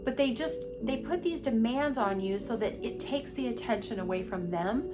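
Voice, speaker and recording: Woman host, middle-aged, stuffy from a cold, blunt and emphatic, over clear background music. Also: a little high, lively intonation, moderate pace. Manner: candid, emphatic, repeats words for stress. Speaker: podcast host. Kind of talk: personal monologue on abuse recovery.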